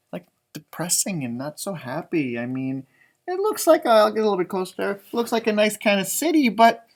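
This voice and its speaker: A man reading a storybook aloud to children as a teacher, expressively, with informal explanatory asides. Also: high voice. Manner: expressive, explains words and pictures simply for children.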